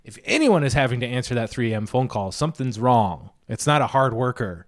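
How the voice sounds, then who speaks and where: A man co-hosting a podcast, talking loosely and swearing casually as he jokes his way through his points. His voice sits at an ordinary male pitch. The chat is relaxed and unscripted. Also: fairly quick. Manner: rambling, joking and profane. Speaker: podcast co-host.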